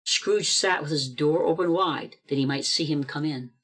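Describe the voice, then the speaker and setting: A steady, expressive narrator reading a classic tale aloud, like a storybook. The voice comes through a video call for an amateur radio play. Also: mid-range voice, moderate pace, slightly rough.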